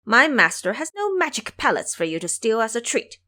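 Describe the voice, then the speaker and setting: Mischievous immortal servant boy for audio drama, played by a woman: insolent, cheeky, animated. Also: a little high, a little fast. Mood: cheeky retort.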